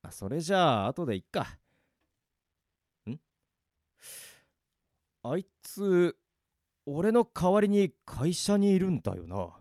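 A man voicing a bewildered young office worker who finds no one can see him, talking in rough casual speech, in an amateur radio drama. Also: fairly high pitch, big pitch swings, slow pace, pauses often, a little mellow, no room sound, clean studio sound. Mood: muttering.

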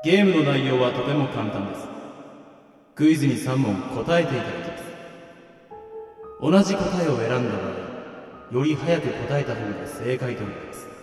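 A man's voice: medium pitch, expressive, moderate pace, pauses often, darkish tone, far from the mic, close to an everyday voice. A man acting a sadistic death-game host in a radio drama, formally polite over hidden violent contempt. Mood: coldly polite. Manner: formal announcer politeness, breaking into savage rants. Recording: very echoey hall, music behind.

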